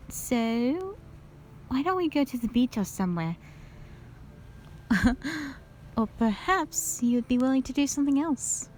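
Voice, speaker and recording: A woman's voice: high voice, animated, measured pace, pauses often, a little mellow, slight background noise, voice pushed up. A woman voicing a playful, teasing young female soldier, a bubbly and informal best friend in an audio drama. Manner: playful, informal, whiny when tired. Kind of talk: dramatic audio roleplay acting.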